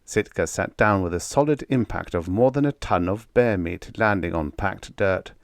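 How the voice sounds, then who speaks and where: A man's voice: low-pitched, moderate pace, creaky, dry recording, studio quality. A man reading scripted story narration as an audiobook narrator.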